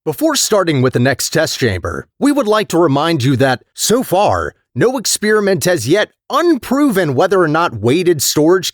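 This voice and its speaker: An actor voicing a chatty, sardonic robot facilities manager in a video game, wry and condescending with officious politeness. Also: male voice, fairly high pitch, dry recording, clean studio sound. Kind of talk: scripted video game voice acting.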